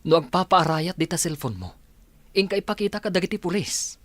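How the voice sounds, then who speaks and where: A man's voice: sits high, lively intonation, a little fast, a bit bright, no room sound. A man playing a practical confidant in a radio drama, giving directive, urgent advice. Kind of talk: Ilocano radio drama acting.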